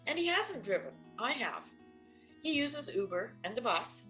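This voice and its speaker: A woman's voice: a little high, very animated, unhurried, sharp tone, soft background music, exaggerated voice. An older woman playing a firm, practical, exasperated but loving wife in an audio play, matter-of-fact and insistent. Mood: wry. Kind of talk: audio play stage acting.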